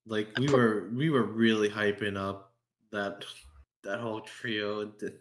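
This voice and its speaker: A man chatting casually as a podcast member, in a halting way with frequent chuckles. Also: lowish voice, steady tone. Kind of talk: casual group chat.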